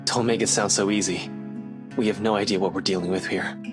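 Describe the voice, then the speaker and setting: A man's voice: low voice, steady tone, a little fast, over music, close to an everyday voice. A man playing a guarded, weary and protective friend in a video game drama, with a serious, terse manner. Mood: sceptical, worried.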